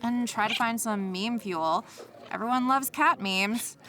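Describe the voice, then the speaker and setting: A woman's voice: a little high, measured pace, fairly bright tone. An actress playing a bored, snarky young woman with a whiny, dismissive delivery in an audio comedy. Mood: scheming.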